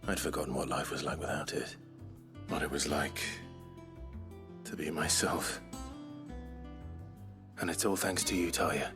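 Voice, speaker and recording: Very deep-voiced brooding warrior. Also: male voice, a little slow, pauses often, over music. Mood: reflective, grateful. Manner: terse, understated. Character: brooding, scowling warrior hero, weary but grateful, terse. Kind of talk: cinematic fantasy game acting.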